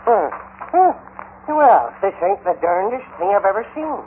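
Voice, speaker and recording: A muffled, slightly noisy old radio recording carries a blustering old cowboy sidekick. His high voice swoops around in a folksy rural Western American accent. He is excitable and comically grumbly, in classic radio western style. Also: measured pace. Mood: puzzled. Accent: rural American West. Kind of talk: 1950s radio western acting.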